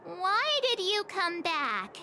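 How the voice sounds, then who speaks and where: A woman's voice: very high voice, big pitch swings, takes it slowly, pushed and bright, a little hiss or hum, exaggerated voice. An actress playing a breezy, confident young adventurer girl in an anime-style video game, perky and teasing. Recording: game audio with music behind.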